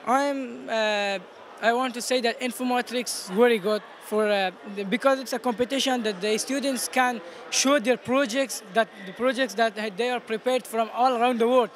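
A teenage male student with an Afghan accent explaining his project in an interview in halting non-native English. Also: very high voice, steady tone. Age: teens. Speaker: student competition participant. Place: busy exhibition booth indoors. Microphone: handheld interviewer microphone. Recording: noisy event hall background.

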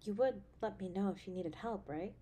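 A woman voice actor playing an affectionate, playful, caring girlfriend in an intimate roleplay audio drama, with a tender, expressive delivery. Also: medium pitch, a little fast, breathy edge, natural voice. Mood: sympathetic, chatty.

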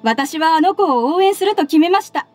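An actress playing an earnest, respectful young female secretary in a Japanese anime game, speaking politely and with measured care. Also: extremely high pitch, lively intonation. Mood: warm, heartfelt. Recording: studio voice over game music. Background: soft background music.

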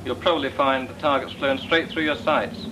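A man with a British accent playing a flight instructor in a 1940s film, giving clipped, brisk instructions on a noisy old soundtrack. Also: medium pitch, fairly even intonation, close to an everyday voice. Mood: firm. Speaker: flight instructor leading air exercises.

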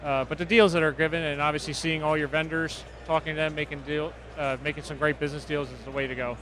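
A pro shop owner being interviewed on a busy trade show floor, quick and enthusiastic. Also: male voice, on the high side, little pitch movement, a bit bright, slight background noise, low-quality recording.

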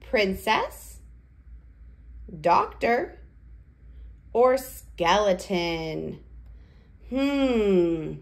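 Slow, lilting woman reading to toddlers. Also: mid-range voice. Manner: sing-song, simple, deliberate phrasing with questions. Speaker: children's read-aloud host. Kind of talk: read-aloud for toddlers.

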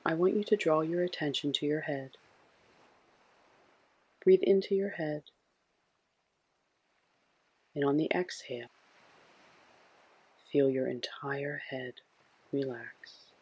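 Slow, husky man guiding sleep meditation. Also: fairly high pitch, steady tone, muffled recording. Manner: soothing, hushed, gentle instruction. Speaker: meditation guide.

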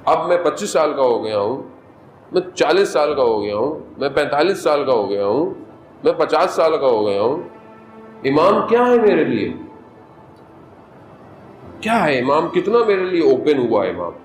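A man giving a majlis sermon as a Shia cleric, moving from conversational preaching into impassioned, high-pitched appeals. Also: big pitch swings, moderate pace, pauses often. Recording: echoey hall with music underneath.

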